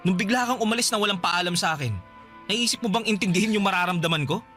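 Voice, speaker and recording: A man's voice: high-pitched, fast, bright, forceful tone, soft background music. A man playing a resentful, defensive younger brother in a Filipino radio drama, sarcastic and wounded. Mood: hurt, bitter.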